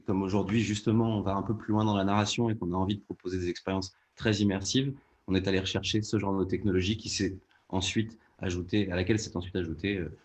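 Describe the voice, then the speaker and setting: A man's voice: deep voice, a little mellow. A man explaining things as a podcast producer in a panel discussion.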